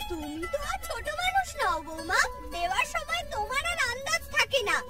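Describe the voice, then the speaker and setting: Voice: extremely high pitch, big pitch swings, a little fast. A performer voicing a bossy, nagging older mother-in-law in a family cartoon, scolding and complaining. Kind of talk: cartoon voice acting. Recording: distant voice under loud music.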